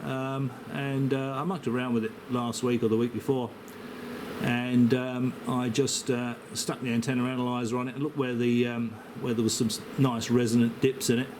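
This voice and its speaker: A man's voice: mid-range voice, moderate pace. An Australian man chatting casually as an amateur radio operator, with a hesitant, rambling, matey delivery. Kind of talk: casual radio contact chat. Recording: steady background noise, radio hiss.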